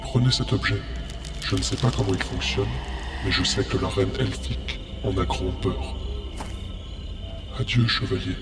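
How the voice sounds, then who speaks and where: A man's voice: lowish voice, normal speed, pauses often, lightly acted. A man playing a tender, solemn ghost of a father in a fantasy audio drama. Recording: echoey, distant, under loud music.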